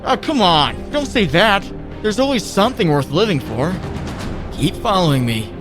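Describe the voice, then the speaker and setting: Guy playing young wisecracking superhero, kinda high voice, wry and earnest, comic dub w music behind. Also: very animated, normal speed. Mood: urgent, coaxing. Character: wisecracking young adult male superhero, self-doubting, earnest. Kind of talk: dramatic comic dub acting with inner monologue.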